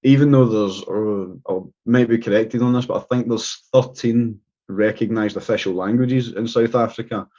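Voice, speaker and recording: A Scottish man with a lowish voice talks through an interview in loose, rambling sentences full of restarts and fillers. The sound is dull and lo-fi. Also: moderate pace, mellow. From Scotland. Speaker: guest. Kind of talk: casual interview talk.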